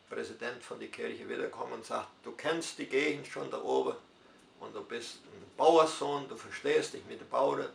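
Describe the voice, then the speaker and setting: Gravelly older man, Hunsrückisch German. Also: mid-range voice, roomy sound, slight background noise. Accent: Hunsrückisch German of southern Brazil. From southern Brazil. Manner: unhurried storytelling with dialect forms and hesitations. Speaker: retired pastor interviewee. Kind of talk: personal life story in interview.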